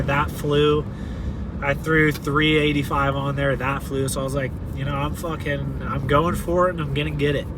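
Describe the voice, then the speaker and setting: A young man in his twenties chats to his camera outdoors, loosely and full of fillers and restarts. His voice sits a bit high for a man. Cars and street noise run clearly behind him. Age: 20s. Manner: rambling, filler-heavy, with many "like"s and restarts. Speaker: fitness vlogger. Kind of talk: casual vlog talk to camera. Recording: noisy outdoor ambience with cars.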